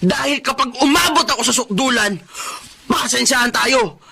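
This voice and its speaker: Gravelly, brazen philandering husband. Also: male voice, extremely high pitch, animated, normal speed, a crowd in the background, heavily acted. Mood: angry, threatening. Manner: menacing, bitter. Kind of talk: Filipino radio drama acting.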